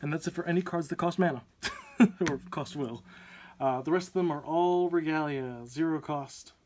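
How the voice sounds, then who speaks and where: A young man hosting a card game channel, slightly hoarse from a sore throat, talking casually and upbeat with lots of fillers. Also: on the high side, moderate pace, darkish tone. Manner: chatty, upbeat, many "uh" fillers. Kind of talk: casual deck profile walkthrough.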